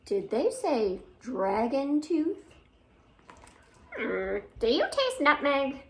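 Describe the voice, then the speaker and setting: A woman leads a storytime for young children in a high, very lively voice. She takes her time, reading expressively and putting questions and exclamations to her listeners. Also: drawn-out words, slight background noise. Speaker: children's storytime host at a library. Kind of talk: animated read-aloud for young children with sung greeting.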